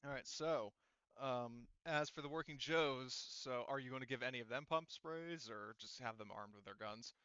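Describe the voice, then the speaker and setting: Male game master, halting casual narration, crisp bright voice, dry slightly dull recording. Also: mid-range voice, no room sound. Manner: halting, casual, thinking aloud. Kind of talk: narrating and running a tabletop roleplaying game.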